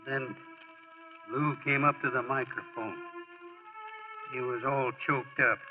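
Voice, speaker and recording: An actor playing a folksy, sorrowful American storyteller narrating a 1940s radio drama. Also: male voice, mid-range voice, fairly even intonation, slow pace, over music, lightly acted. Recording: muffled old radio recording.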